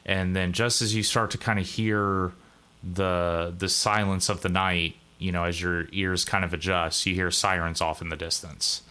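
A man running a tabletop game, swearing freely and rambling through the scene. His voice is low-pitched but bright and forceful. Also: little pitch movement, moderate pace, clean tone. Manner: casual, profane, full of fillers and restarts. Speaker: game master.

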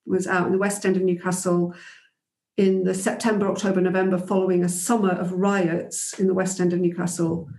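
A woman's voice: on the low side, level tone, fairly quick, darkish tone, roomy sound. A woman guest in an interview, reflecting thoughtfully on her teaching days, with frequent ums and restarts. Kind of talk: reflective conversational storytelling.

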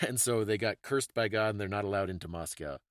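A man's voice: fairly low pitch, normal speed, no echo, clean studio sound. A man hosting a conversational talk, explaining things in an informative, scholarly way. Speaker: podcast host explaining folklore.

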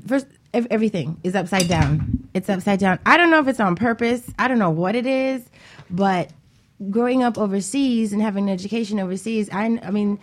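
A woman's voice: mid-range voice, a little fast. A woman talking candidly as a radio guest, outspoken and emphatic, repeating phrases for stress. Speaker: guest, homeschooling mother. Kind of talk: casual, candid radio interview talk.

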